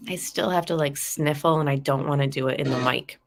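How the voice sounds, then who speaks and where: A woman's voice: low voice, brisk. A woman with a stuffy, nasal voice from a cold, chatting casually with her viewers as she talks through a true crime case. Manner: chatty, addresses viewers as guys, fillers like um. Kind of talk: casual live chat commentary.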